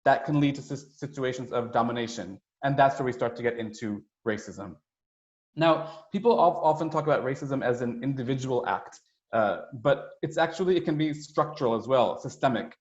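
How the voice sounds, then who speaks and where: A man giving an explanatory, conversational slide presentation as a webinar speaker, with a halting delivery full of hesitations and asides. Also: medium pitch. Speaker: United Nations staff speaker presenting a webinar. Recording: roomy, dull webinar audio.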